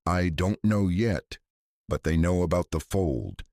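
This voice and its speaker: Man reading as grave, deep-voiced teenage boy. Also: expressive, measured pace, darkish tone, no echo, studio quality. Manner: careful, weighted, terse delivery. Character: serious, careful nonspeaking teenage boy spelling out messages.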